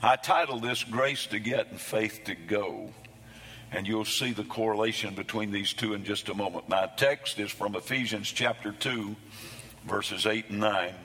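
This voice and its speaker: A man preaching a sermon, his voice hoarse from losing it between services, with a folksy, self-deprecating humor. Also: fairly low pitch, moderate pace, pushed and bright, in a large hall. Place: church sanctuary.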